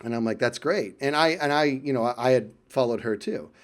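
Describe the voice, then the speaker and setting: American man telling casual, animated stories. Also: medium pitch, brisk. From United States. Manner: animated, acts out exchanges, chuckles often. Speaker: video creator telling stories about friends. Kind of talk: casual anecdotal storytelling.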